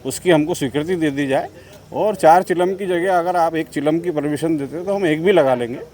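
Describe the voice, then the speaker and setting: A man's voice: fairly high pitch, normal speed, darkish tone. A man speaking as a community representative in an interview, explaining events in formal administrative wording. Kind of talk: spontaneous interview account.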